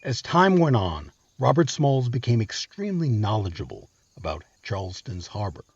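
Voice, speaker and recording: Male history narrator, lively swooping delivery, emphatic phrasing, dry dull lo-fi recording, music underneath. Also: fairly low pitch, normal speed, breathy edge. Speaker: narrator and host of a history show. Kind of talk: scripted storytelling narration.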